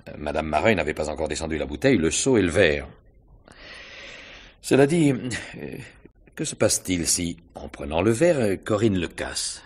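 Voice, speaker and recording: Radio mystery inspector: courteous but probing, very deep, rough-edged voice, deliberate questioning. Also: male voice, very animated, full character voice. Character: shrewd, probing, courteous male police inspector. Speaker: actor in a radio mystery drama. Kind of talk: French radio mystery play acting, 1950s style.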